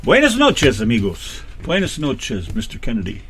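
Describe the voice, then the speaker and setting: An American man co-hosting a radio show, chatting casually and joking, his voice jumping high and low. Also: wildly swooping pitch, moderate pace, faint music behind. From United States. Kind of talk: casual banter.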